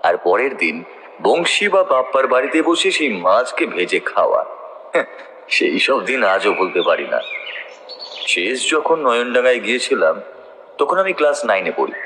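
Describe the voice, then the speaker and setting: A man's voice: medium pitch, noisy background. A man narrating a scripted story in the first person, in a dramatic storytelling style.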